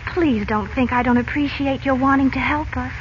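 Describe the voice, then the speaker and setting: A woman's voice: high voice, normal speed, slight background noise, close to an everyday voice. A woman playing an innocent, trusting young girl, anxious and polite, in a 1940s radio serial. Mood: earnest, apologetic. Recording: old 1940s radio broadcast, muffled.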